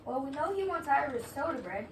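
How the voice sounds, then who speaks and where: A woman's voice: high voice, expressive, a little fast. A woman reading a scripted part in a children's readers' theater. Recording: echoey room, distant mic, background noise.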